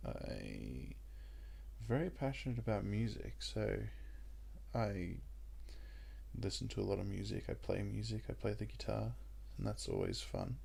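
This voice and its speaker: Croaky young Australian man. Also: on the low side, unhurried. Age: young adult. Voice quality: croaky, gravelly voice. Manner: rambling and relaxed, with many ums. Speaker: gaming YouTuber. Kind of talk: casual let's-play commentary.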